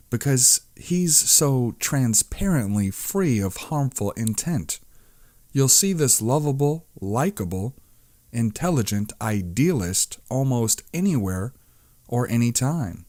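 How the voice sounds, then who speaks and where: A man's voice: mid-range voice, darkish tone, no echo. A man reading an astrology book aloud as scripted narration. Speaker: audiobook reader.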